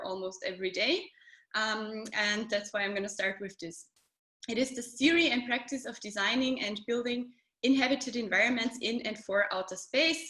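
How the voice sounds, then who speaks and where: A woman explains her work as a space architect over a video call. She speaks clearly and patiently, with many ums. Her voice sits in a roomy, echoing space. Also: on the high side, a bit bright. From Austria. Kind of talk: webinar presentation. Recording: roomy echo.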